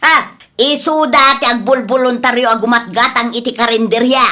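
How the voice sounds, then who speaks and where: A woman's voice: fairly high pitch, steady tone, moderate pace, pushed and bright. An older woman playing a proud, grumbling elderly widow who runs an eatery in a radio comedy drama. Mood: indignant, complaining. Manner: indignant, complaining.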